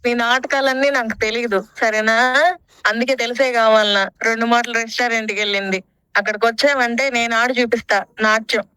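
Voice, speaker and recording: An indignant woman tells off her boyfriend over the phone. Her voice is high and forceful, the words come fast, and she is confrontational. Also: steady tone, clear, resonant tone, dry recording, low-quality recording. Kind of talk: scolding on a phone call.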